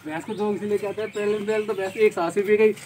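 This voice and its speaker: Young guy, high voice, hyped vlog chat outside, noisy yard. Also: fairly even intonation, fast talker. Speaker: vlogger. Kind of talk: excited casual vlog commentary to camera. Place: open dirt farmyard, outdoors. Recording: noisy outdoor yard, birds and animals.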